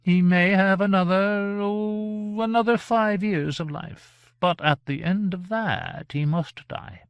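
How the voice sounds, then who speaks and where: A man voicing a vain, capricious fairy gentleman in a storybook, theatrical and effusive, with gushing menace and drawn-out words. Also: high voice, clean tone, dry recording, highs cut off, faint music behind, voice pushed up. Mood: haughty, menacing.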